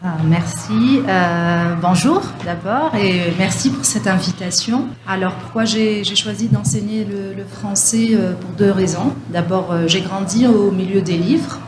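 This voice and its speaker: A woman professor, born in France, answering radio interview questions in a thoughtful way with many pauses and restarts. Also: mid-range voice. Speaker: French professor, guest. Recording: echoey room with steady noise.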